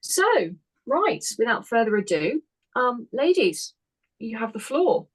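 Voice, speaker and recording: A woman's voice: a little high, big pitch swings, a little slow, husky. A woman hosting a webinar, introducing it in a friendly, chatty way. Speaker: webinar host.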